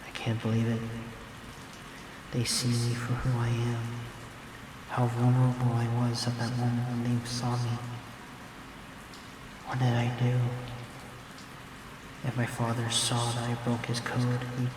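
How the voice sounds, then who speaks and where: A man playing a gentle, guilt-ridden serial killer boyfriend and father in an intimate ASMR roleplay, speaking softly and hesitantly, with apologies. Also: mid-range voice, noisy background, lightly acted. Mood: brooding, worried. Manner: hesitant, halting, apologetic, soft-spoken.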